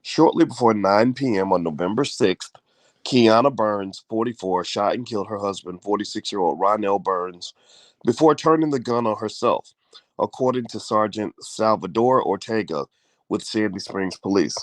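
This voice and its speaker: A man's voice: fairly low pitch, normal speed, dry recording. A man hosting a casual live-stream chat from bed, with a groggy morning voice, loose and chatty with many fillers. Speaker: host. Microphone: close mic at home, in bed.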